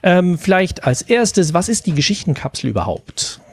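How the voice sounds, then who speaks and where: A man's voice: high voice, big pitch swings, normal speed, slightly rough, no room sound. Man hosting a podcast, dry close sound. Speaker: podcast host. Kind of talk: casual podcast moderation.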